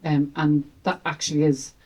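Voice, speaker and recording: A woman's voice: low voice, level tone, normal speed, darkish tone. A woman from Ireland with an Irish accent, asking a question from the audience in a rambling, conversational way. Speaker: audience member.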